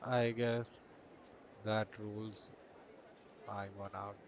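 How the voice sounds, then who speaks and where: A man's voice: on the low side, little pitch movement, slow pace, a little hiss or hum. A man giving casual gameplay commentary as a streamer, in short offhand remarks. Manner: offhand short remarks, mixes Hindi and English. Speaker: gaming streamer. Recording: dull lo-fi voice track under game music. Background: game music.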